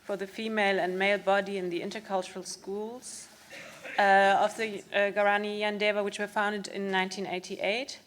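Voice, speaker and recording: A woman's voice: mid-range voice, a little hiss or hum. A woman moderating a panel, introducing a speaker formally, with a few fillers.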